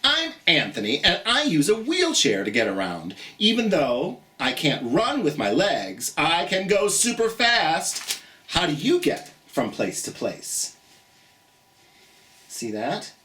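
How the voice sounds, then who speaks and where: A children's storytime host reads aloud in an expressive, encouraging way, speaking directly to young listeners. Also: mid-range voice, slow, slight background noise. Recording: roomy, echoey sound.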